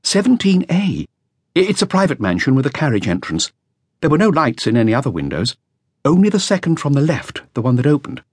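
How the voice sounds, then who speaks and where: A man's voice: medium pitch, big pitch swings, brisk, slightly rough, no echo, studio quality, voice pushed up. A man voicing a nervous, earnest young male flautist telling what he witnessed. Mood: anxious. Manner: anxious, breathless, earnest.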